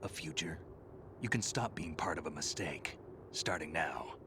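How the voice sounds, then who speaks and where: Gravelly, very low-voiced veteran soldier. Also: male voice, animated, measured pace, heavily acted. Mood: stern. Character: gruff veteran male soldier, terse and stoic. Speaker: game character. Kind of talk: video game cutscene voice acting. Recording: game audio with ambient noise.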